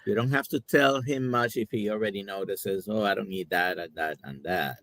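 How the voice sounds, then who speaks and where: A man from Puerto Rico, a doctor co-hosting a live stream, talking in a relaxed, conversational way. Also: on the low side, normal speed. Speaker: co-host, doctor. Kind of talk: casual chat on a live stream.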